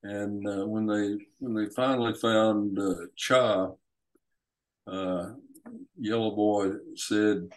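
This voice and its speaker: A man speaking as an author guest, telling a story in a halting way with frequent hesitations and repeats. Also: on the low side, little pitch movement, rough recording. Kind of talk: unscripted storytelling in an interview.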